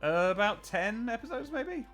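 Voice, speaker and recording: A man's voice: extremely high pitch, big pitch swings, normal speed, a bit bright, soft background music. A man streaming a video game late in the day, sleepy but chatty, laughing and retelling scenes from old TV. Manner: chatty, laughing, tells anecdotes with put-on quotes. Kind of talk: casual live-stream chat.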